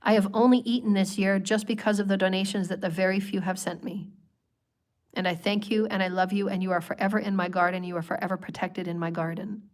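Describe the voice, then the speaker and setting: A woman hosting a spiritual livestream, hoarse from a cold, talking in long flowing strings of repeated phrases. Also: mid-range voice, fairly even intonation, quick pace. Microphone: livestream microphone.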